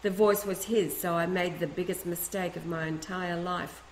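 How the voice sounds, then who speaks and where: A woman reads a first-person letter aloud, her voice sitting a little low. She keeps a steady, earnest pace with the odd restart. The recording rings with room echo over a faint ambient hum. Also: moderate pace. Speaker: reader of a letter.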